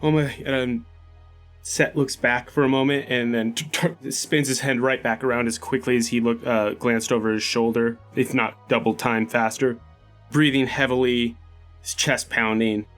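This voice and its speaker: A man playing on a tabletop roleplaying podcast, improvising descriptive narration of his character in a loose, halting way. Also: medium pitch, flat delivery, a bit bright, soft background music. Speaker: player on a tabletop game podcast.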